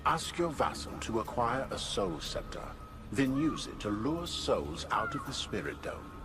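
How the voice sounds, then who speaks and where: An actor playing the ancient soul of a haughty court mage in a video game, speaking formally and with archaic condescension. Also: male voice, mid-range voice, big pitch swings, measured pace, over music. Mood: haughty, solemn.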